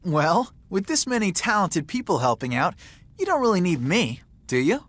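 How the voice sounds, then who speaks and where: A man's voice: high voice, sing-song, moderate pace, a bit bright, full character voice. A man voicing an easygoing, wisecracking young man in a video game, laid-back and teasing. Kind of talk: video game cutscene voice acting.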